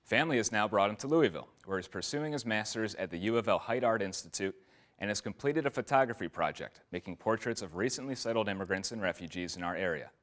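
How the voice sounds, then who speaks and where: A man who reports and interviews, reading a scripted intro and asking measured questions. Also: on the low side, animated, a bit bright.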